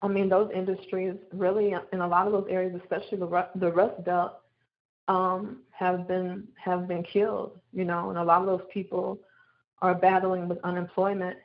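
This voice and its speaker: Female discussion participant, deep gravelly voice, casual talk, slightly dull call audio. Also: flat delivery, normal speed.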